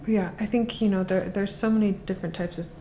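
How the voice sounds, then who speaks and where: A woman's voice: medium pitch, fairly even intonation, brisk, dark tone, a little hiss or hum. A woman speaking as a guest on a podcast, talking eagerly and often restarting her phrases. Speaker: guest, art auction specialist. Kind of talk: casual conversation.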